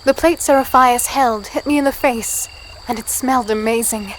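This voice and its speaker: A higher-pitched young heroine, acted by a woman telling her own dark fantasy tale. She is curious but guarded, polite and a little hesitant. Faint night ambience of crickets sits behind her voice. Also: moderate pace, slight background noise, close to an everyday voice, rough recording. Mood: introspective. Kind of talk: first-person audio drama narration and dialogue.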